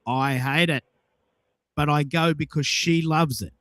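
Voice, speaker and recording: Guy hosting a chatty audio room, emphatic, says stuff twice, bit higher voice, very dry mic. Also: fairly even intonation, a little slow, fairly bright tone, dry recording. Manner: emphatic, repeats himself for emphasis. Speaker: host of a social audio show. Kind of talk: casual chat, personal anecdotes.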